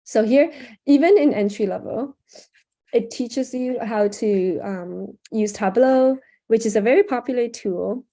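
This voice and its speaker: A young woman teaching a live online workshop over a video call, chatty with lots of ums, her throat sore and often cleared. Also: fairly high pitch, a little slow, dark tone. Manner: casual, chatty, frequent "um" and "like". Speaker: workshop instructor. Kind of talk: live online workshop screen-share tutorial.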